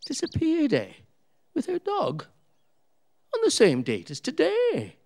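A man's voice: very high-pitched, huge pitch swings, slow pace, creaky, a little mellow, a little hiss or hum, heavily acted. A man playing a jovial, curious older husband in a radio play, chuckling and colloquial. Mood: curious, puzzled.

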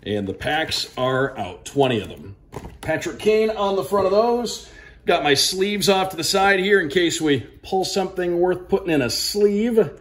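A man's voice: high voice, expressive, a little slow. A man hosting an unboxing video with casual, upbeat commentary, talking straight to the viewers. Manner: upbeat, addresses viewers directly. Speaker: sports broadcaster hosting a card unboxing. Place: indoor room at a wooden table.

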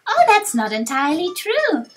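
Game guild creature, woman performer: high, lively, cheery, determined, emphatic. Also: normal speed, a little hiss or hum, heavily acted. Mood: upbeat, determined.

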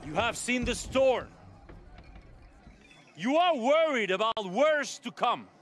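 An actor playing a grandiose cult leader who poses as a god in a video game, proclaiming solemnly and pompously. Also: male voice, extremely high pitch, big pitch swings, slow pace, a bit bright, heavily acted. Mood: grave, assuring. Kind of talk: dramatic video game oratory.

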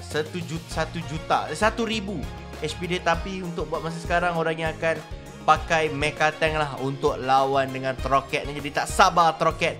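A man with a Malaysian accent giving excited, animated gameplay commentary with roleplay, full of exclamations and drawn-out words. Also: a little high. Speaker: gaming YouTuber.

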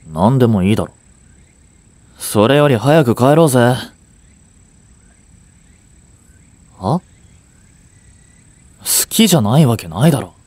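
A man's voice: medium pitch, a little mellow, no echo. A young man acting a curt, flustered boyfriend who hides his affection, with a hesitant, brusque delivery in a romance audio drama. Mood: gruff, embarrassed. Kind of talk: Japanese romance audio drama aimed at women.

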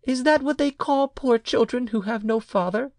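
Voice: medium pitch, moderate pace, darkish tone, no echo, studio quality, pitched above the performer's own voice, lightly acted. An audiobook narrator voicing a plaintive boy of about nine in a storybook tale. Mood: hurt, puzzled.

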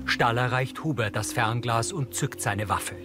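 A man narrates scripted audio description. His delivery is measured and neutral at a middle pitch. Music plays behind him. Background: background music.

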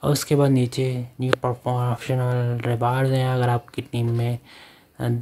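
Flat-voiced man. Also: mid-range voice, normal speed, noisy background. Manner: instructional, repeats step-by-step directions. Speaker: online earning channel host. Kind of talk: screen-recorded app walkthrough. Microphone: voice-over to phone screen recording.

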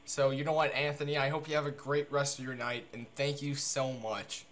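A man talking casually and excitably as a game streamer, with repetitive, stammering phrasing on a noisy livestream recording. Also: mid-range voice, fairly quick. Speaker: game streamer making a prank call.

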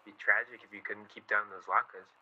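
An actor playing an embittered, wry 1940s newspaperman in a radio play, with a husky, sardonic delivery. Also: on the low side, steady tone, a little fast, pushed and bright, lo-fi sound, heavily acted. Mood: wry, teasing.

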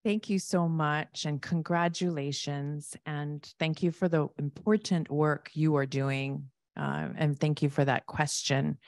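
A woman keynote speaker answering audience questions in a conversational way, thanking the askers and acting out quick asides. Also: deep voice, flat delivery, a little mellow, no echo, studio quality. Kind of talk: conference Q&A answers. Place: large conference hall with audience.

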